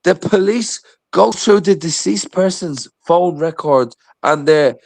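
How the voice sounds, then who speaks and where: A man's voice: on the high side, takes it slowly, creaky, a little mellow, no echo. A man chatting casually on a livestream, blunt and sweary in the way he talks.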